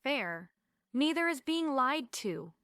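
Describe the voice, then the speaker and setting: A woman's voice: sits high, sing-song, slow, fairly bright tone, no room sound, studio quality, exaggerated voice. A woman playing a sarcastic, wounded, headstrong heroine with a dramatic delivery at a stage script table read. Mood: bitter, hurt.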